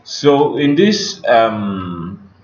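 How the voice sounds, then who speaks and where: A man giving a screen-share coding tutorial as a teacher, explaining step by step in a halting, instructional way. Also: lowish voice, big pitch swings. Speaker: computer teacher. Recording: muffled with background noise.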